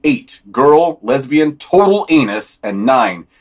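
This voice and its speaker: Husky man with lively, swinging intonation. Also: mid-range voice, slow pace. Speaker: podcast reader. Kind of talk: scripted podcast announcements.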